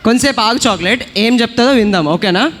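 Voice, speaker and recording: A man hosts a children's puppet show in a church hall. His high-pitched voice gives patient, simple correction and teaching, with reverb and some noise around it. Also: quick pace. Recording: echoing hall, noisy background.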